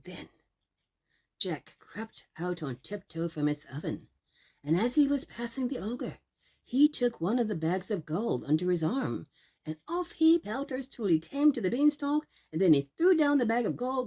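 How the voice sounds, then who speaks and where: A woman telling a children's fairy tale aloud, expressive and deliberate, drawing out words for young listeners. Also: medium pitch. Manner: deliberate, drawn-out emphasis, addressing young listeners. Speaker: children's storyteller.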